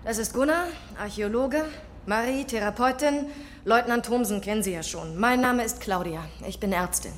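An actress playing a level-headed, outspoken doctor and survivor in a radio horror drama, direct and dry. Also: female voice, a little high, normal speed, a little hiss or hum, lightly acted. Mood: firm, businesslike.

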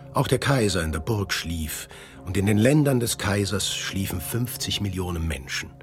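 A man narrating a German literary radio drama in a measured, serious, scripted style. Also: on the low side, close to an everyday voice.

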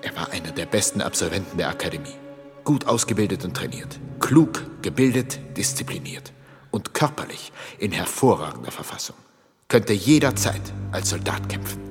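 A deep, cautious clergyman confidant. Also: lively intonation. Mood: sober. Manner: measured, soothing, hushed at times. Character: cautious, calming clergyman, the bishop's loyal male confidant. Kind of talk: German radio drama acting. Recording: long hall reverb, music behind.

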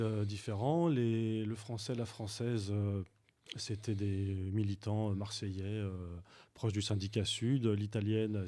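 Low, mellow-voiced man, hesitant. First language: Arabic speaker. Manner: hesitant, many fillers and restarts. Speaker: guest volunteer. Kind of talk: radio interview.

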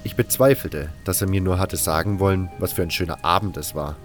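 Man voicing a low-pitched, wary storyteller. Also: a little fast, faint music behind, lightly acted. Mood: guarded, reflective. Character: wary male camp member narrating his own story. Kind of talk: first-person audio drama narration with dialogue.

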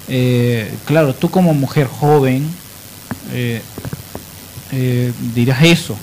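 A man's voice: mid-range voice, takes it slowly, darkish tone, a little hiss or hum, rough recording. A man with a Bolivian accent hosting a radio show, explaining things emphatically and with opinion. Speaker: radio host. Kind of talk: radio discussion and biographical narration.

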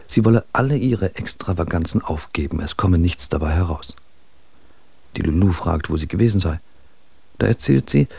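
Rauer, tiefer Klatschberichterstatter. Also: male voice, low-pitched, gravelly, a little mellow, slightly rough, no echo, exaggerated voice. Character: gossipy male correspondent reporting family scandal. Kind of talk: radio drama letter reading.

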